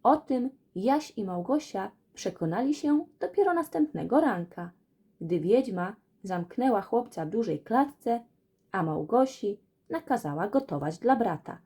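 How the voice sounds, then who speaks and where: Female storyteller, fairy tale narration, lively intonation, soft mellow tone. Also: mid-range voice, measured pace, breathy edge.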